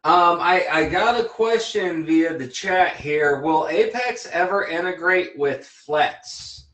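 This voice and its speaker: A man hosting an interview in a relaxed, casual way. His voice sits a bit higher than most men's, and he talks bluntly with plenty of teasing and restarts. The sound has a roomy echo. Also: slow. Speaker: interview host, dentist. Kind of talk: casual livestream interview chat.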